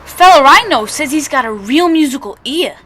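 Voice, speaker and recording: A woman's voice: high voice, lively intonation, moderate pace, a bit bright. A woman playing a proud, stubborn young street boy with slangy talk in an old-time radio drama. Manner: slangy, artless kid talk. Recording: noticeable background noise.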